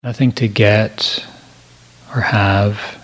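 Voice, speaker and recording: Meditation teacher, male, lower register, very unhurried delivery, gentle and measured, leaving generous pauses. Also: fairly even intonation, poor audio. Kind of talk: guided meditation.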